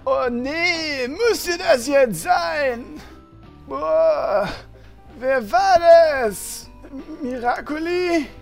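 Woman as shrill, exasperated adventurer. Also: animated, very slow, vocal fry, soft background music, exaggerated voice. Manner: exasperated, overdramatic. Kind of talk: parody audio drama acting.

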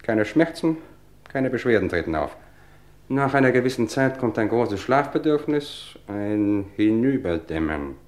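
A man's voice: mid-range voice, sing-song, darkish tone. Suave, mocking male poisoner. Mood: coolly boastful. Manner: suave, mocking, measured, theatrically polite. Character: smug, cultivated male poisoner, coolly taunting police. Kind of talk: vintage German radio crime drama acting.

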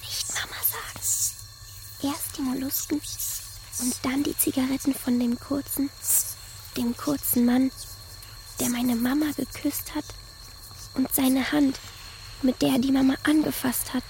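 A woman's voice: sits high, little pitch movement, unhurried, creaky, long pauses, a little mellow, slight background noise, poor audio. A woman voicing the sullen, haunted ghost of a little girl in a radio drama, in short, childlike, repeated phrases. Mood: haunted, resentful.

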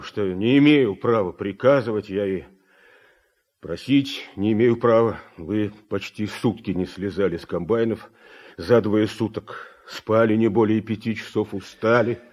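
Husky earnest Soviet party secretary. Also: male voice, on the low side, a little mellow. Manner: measured, official yet sincere. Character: earnest Soviet party secretary rallying exhausted farm workers. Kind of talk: Soviet radio drama acting.

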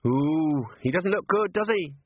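A man's voice: fairly high pitch, expressive, a little slow, slightly rough, no room sound, voice pushed up. A man voicing a nervous, polite young female dwarf constable. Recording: phone-like narrow band, dry.

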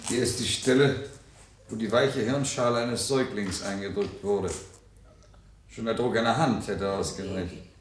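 An actor playing a coolly clinical scientist in a 1970s radio play, speaking formally and precisely. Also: male voice, moderate pace, lightly acted. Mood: grim, clinical. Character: coolly clinical male scientist surviving underground after a nuclear disaster. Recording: 1970s radio recording with faint rumbling noise.

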